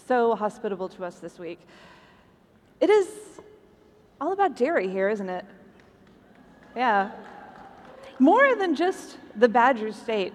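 A woman podcast host presenting a live stage show to a convention audience. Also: fairly high pitch. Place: large indoor convention hall. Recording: echoey convention hall, clear background noise.

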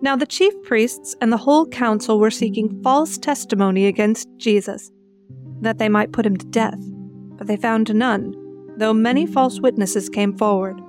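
A woman's voice: fairly high pitch, moderate pace. A woman narrating, giving a dramatic reading from the Bible. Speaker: scripture narrator. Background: plucked guitar music.

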